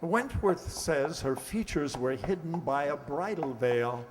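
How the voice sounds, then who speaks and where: A man's voice: a little high, animated, slow pace, slight background noise. Radio play lord played by a man: dignified, particular, dramatic phrasing, reverberant room. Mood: fussy, assured. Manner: formal, dramatic storytelling. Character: fussy, dignified lord, father of bride-to-be. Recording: echoey hall reverb.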